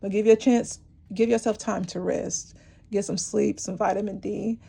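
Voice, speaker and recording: Gravelly-voiced woman reading tarot cards. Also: medium pitch, steady tone, moderate pace, dark tone. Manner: rambling, encouraging, many fillers and restarts. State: losing her voice, clearing her throat. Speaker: tarot reader. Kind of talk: intuitive tarot reading to camera.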